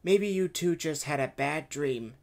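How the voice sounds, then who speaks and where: A man's voice: a little high, a little slow, gravelly, voice pushed up. A man voicing a practical mother who mildly scolds her children in a matter-of-fact way.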